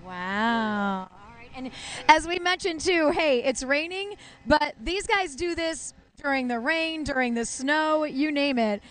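A woman's voice: sits high, expressive, measured pace, a bit bright. A middle-aged woman working as a TV field reporter, chatting effusively into a handheld mic while interviewing live on location. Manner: gushing, effusive. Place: outdoors at a fire station. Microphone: handheld reporter microphone.

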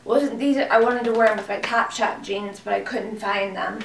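A woman in her thirties, hoarse from a cold, chatting casually and gushing about clothes on camera in a small room, with lots of fillers. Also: medium pitch, steady tone, normal speed, slight background noise. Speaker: fashion vlogger. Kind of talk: casual clothing haul chat. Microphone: camera mic from a few feet away. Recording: echoey room, mic at a distance.

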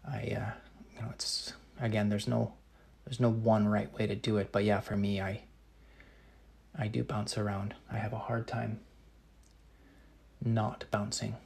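A Canadian man in his thirties, a painter answering live-chat questions in a relaxed, casual way. He thinks aloud with many ums and restarts and articulates some words very precisely. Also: fairly low pitch, unhurried, vocal fry, long pauses, slightly rough.

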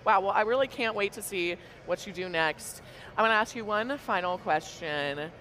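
A woman's voice: mid-range voice, moderate pace, slight background noise, low-quality recording. Middle-aged woman co-host, effusive and upbeat, mid-range voice, lapel mic at a convention booth. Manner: enthusiastic, effusive, warm praise. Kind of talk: conversational interview. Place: large convention hall booth, indoors.

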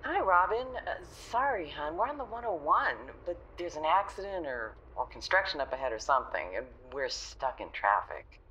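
An actress plays an apologetic mother on a phone call in an audio drama. Her voice is low for a woman. A faint noisy ambience surrounds her. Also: very animated, normal speed, hardly any pauses, bright, forceful tone, full character voice.

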